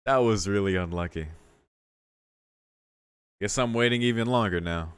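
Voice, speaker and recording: A man's voice: on the low side, normal speed, smooth, dry recording. Exclamatory man commentating on a game. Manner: exclamatory, mock-exasperated reactions to the game. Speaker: gaming video host. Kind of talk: casual gameplay commentary.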